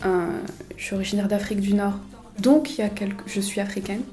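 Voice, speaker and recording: Female interview guest, mellow and level, earnest, reflective, repeating phrases for emphasis. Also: medium pitch, fairly even intonation, fast talker, faint music behind. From suburbs of Paris, France. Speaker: podcast guest, communications consultant.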